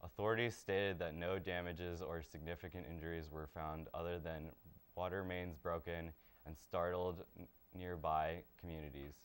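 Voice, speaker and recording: A man's voice: low voice, steady tone, moderate pace, clear, resonant tone. A young man presenting from a small TV studio, delivering scripted lines in an earnest, rehearsed way. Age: young adult. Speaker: student presenter.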